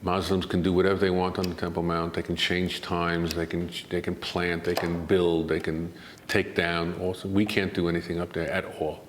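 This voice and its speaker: Older man, low voice, measured and emphatic. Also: flat delivery, reverberant, a little hiss or hum. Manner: measured, argumentative, builds to emphatic points. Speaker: guest expert. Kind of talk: interview answers.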